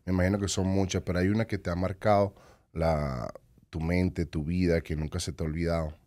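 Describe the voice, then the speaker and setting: A man hosting an interview, asking questions in a halting way and often restarting his sentences. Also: low-pitched, steady tone, dark tone, no echo, studio quality. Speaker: podcast host.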